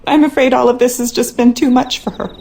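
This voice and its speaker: A woman's voice: a little high, fairly even intonation, brisk, creaky, darkish tone. An actress playing a worried, skeptical wife and mother, speaking earnestly and dramatically in a game monologue.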